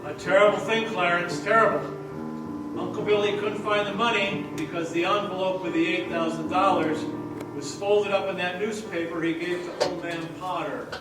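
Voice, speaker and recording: A man playing a solemn senior angel in a radio play, telling a story in measured fashion on a theater stage. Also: high-pitched, steady tone, music in the background, natural voice. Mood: solemn, narrating. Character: solemn senior angel recounting a man's life. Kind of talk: old-time radio play acting on stage. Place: theater hall, distant mic. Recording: distant echoey stage recording, faint noise.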